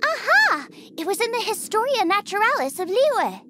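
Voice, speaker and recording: A woman voicing a perky, excitable young girl in a video game, with bubbly, theatrical delivery. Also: very high-pitched, normal speed, slight background noise, heavily acted. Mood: cheerful. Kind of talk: animated video game voice acting. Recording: loud game music under the voice. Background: loud game music.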